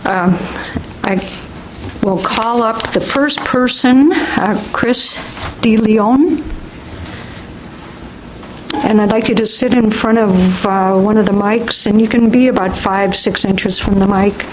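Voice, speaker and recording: A woman's voice: mid-range voice, slow pace, noisy background. Friendly woman chairing a public meeting. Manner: friendly, procedural, a few fillers. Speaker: commission chair.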